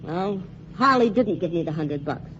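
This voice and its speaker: An actress playing a streetwise young nightclub singer in a 1940s radio drama, brassy and slangy. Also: female voice, fairly low pitch, expressive, normal speed, a bit bright. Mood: defensive. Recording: muffled 1940s radio broadcast with faint hiss.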